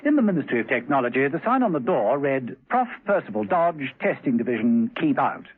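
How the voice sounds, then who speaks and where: A man's voice: medium pitch, very animated, a little fast, breathy edge. A man narrating a scripted 1970s radio thriller in a dry, dramatic storytelling manner. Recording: tinny, muffled old radio recording.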